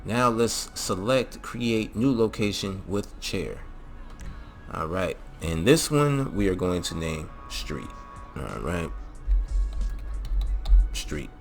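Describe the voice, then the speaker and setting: A man's voice: deep voice, big pitch swings, slow, long pauses. A man hosting a casual, slangy video game mod tutorial in an informal, laid-back way. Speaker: gaming channel host. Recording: music behind the voice. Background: music.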